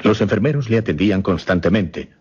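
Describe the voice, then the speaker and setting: Man playing an earnest, very husky mesmerist. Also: animated, brisk, a little mellow, dull audio. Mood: grave, defensive. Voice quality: low, very husky. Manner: formal, grave, dramatic. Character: earnest male mesmerist recounting and conducting a grim experiment. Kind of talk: Spanish-language horror radio play acting.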